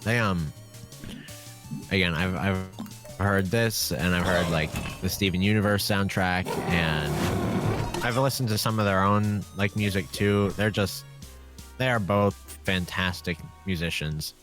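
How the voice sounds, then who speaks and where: A man's voice: sits low, a little slow. A man co-hosting a let's play, chatting casually and jokingly with some swearing. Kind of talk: casual gaming chat. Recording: game music behind the voice. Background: video game music.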